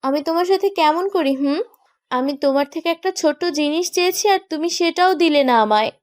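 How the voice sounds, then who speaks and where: A woman acts as a petulant young bride in a romantic audio drama. Her voice is high and girlish in pitch. She needles and reproaches, stubborn and bossy with her husband. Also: fairly quick, natural voice. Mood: petulant, insistent. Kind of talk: romantic audio story acting.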